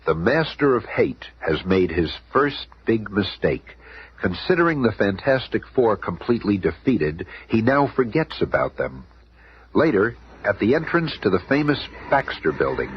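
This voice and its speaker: An actor as a dramatic narrator, giving emphatic scripted narration in a 1970s radio serial. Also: male voice, on the low side, very animated, normal speed, husky, full character voice. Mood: suspenseful. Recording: muffled, noisy old radio recording.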